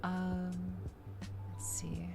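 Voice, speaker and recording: A woman hosting a soft-spoken ASMR gaming livestream, chatting casually with viewers and murmuring hesitantly as she thinks aloud, half whispering at times. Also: lowish voice, big pitch swings, drawn-out words. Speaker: VTuber livestream host. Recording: loud music behind the voice. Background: music.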